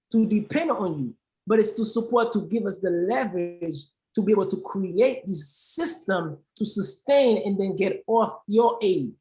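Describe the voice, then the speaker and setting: A woman's voice: mid-range voice, darkish tone, poor audio. Haitian-born woman on a panel, really passionate, hammers points by repeating them. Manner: emphatic, repeats phrases for stress. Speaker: aid organization founder, panel guest. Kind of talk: impassioned panel discussion.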